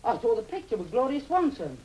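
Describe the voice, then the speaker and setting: Timid sidekick, eager and a bit dim; hesitant delivery. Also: male voice, very high voice, very animated, a little fast, a little mellow, slight background noise, poor audio. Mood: meek. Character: meek male assistant, slow-witted and eager.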